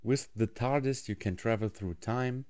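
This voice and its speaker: A man's voice: mid-range voice, moderate pace, a little mellow. A man narrating a scripted review in English as the host. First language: German.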